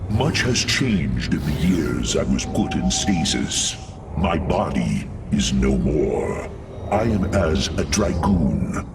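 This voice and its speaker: Ancient alien warrior in machine body, extremely deep, gravelly, slow formal speech, game music behind. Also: male voice, big pitch swings, exaggerated voice. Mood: puzzled. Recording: game audio with music, distant.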